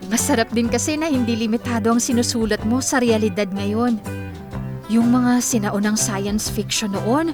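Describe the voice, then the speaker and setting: An actress playing a gentle, generous young woman writer in a Filipino radio drama, speaking politely. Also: a little high, fairly even intonation, normal speed, over music, lightly acted. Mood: earnest.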